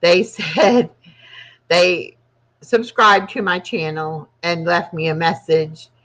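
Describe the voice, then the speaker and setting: A woman's voice: lowish voice, a bit bright. A woman in her sixties hosting her own channel, chatting casually and rambling with frequent laughs. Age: 60s. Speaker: channel host. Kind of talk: casual live chat. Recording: dull lo-fi sound.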